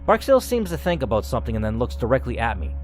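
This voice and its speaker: A man's voice: medium pitch, sing-song, very fast. A man reading a story game aloud as channel host, moving between dramatic narration and casual asides. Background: faint music.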